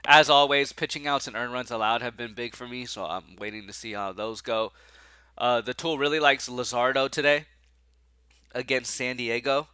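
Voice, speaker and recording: A man with a stuffy, nasal voice from allergies, hosting casual sports betting picks, excitable and slangy. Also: mid-range voice, moderate pace, clean tone, dry recording.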